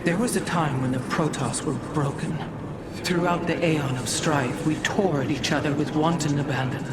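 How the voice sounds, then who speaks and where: A woman's voice: low-pitched, steady tone, normal speed, very noisy, close to an everyday voice. A woman playing a proud, ancient alien keeper of history in a game, with formal, solemn, archaic phrasing. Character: proud, ancient female alien preserver of history, stern and devout. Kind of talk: scripted game cutscene dialogue.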